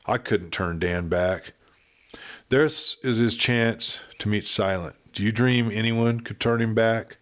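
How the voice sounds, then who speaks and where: A man's voice: on the low side, takes it slowly. A man voicing an anguished, resolute young ranch woman in a western audiobook, speaking plainly and insistently. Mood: resigned, firm.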